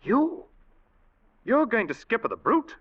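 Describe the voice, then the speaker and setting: Earnest ship's officer, vintage radio, swooping pitch. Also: male voice, huge pitch swings, measured pace, exaggerated voice. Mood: uneasy, startled. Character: earnest, uneasy male ship's officer telling his tale. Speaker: actor and first-person narrator. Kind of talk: old-time radio drama acting. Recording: muffled vintage radio recording, loud music.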